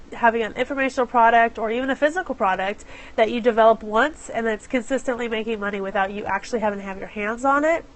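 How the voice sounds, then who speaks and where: A woman's voice: a little high, rough recording. A woman with a head cold hosting a casual live stream from her phone. She is chatty and apologetic and greets viewers by name. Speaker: live stream host for small business owners. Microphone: phone camera.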